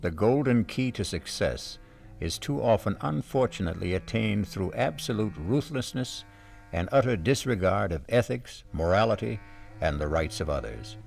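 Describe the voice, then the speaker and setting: A man hosting a 1970s radio mystery show, introducing it in a grave, deliberate, theatrical way. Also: on the low side, animated, gravelly, darkish tone. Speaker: host. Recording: archival radio broadcast with music.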